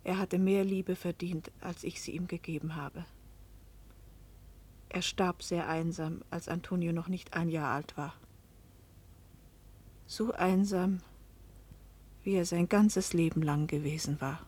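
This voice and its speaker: A woman's voice: fairly low pitch, steady tone, a little slow, pauses often, a little mellow, a little hiss or hum, lightly acted. A woman playing a passionate, remorseful young wife and mother in a German radio play, intimate and confessional in manner. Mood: sorrowful, reflective. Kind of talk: German radio play acting and first-person narration.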